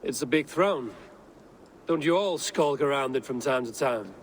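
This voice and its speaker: An actor playing a stern, principled Viking raider hero who speaks in a blunt, measured way in a video game. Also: male voice, mid-range voice, slight background noise, close to an everyday voice. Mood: wry. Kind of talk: dramatic video game cutscene acting.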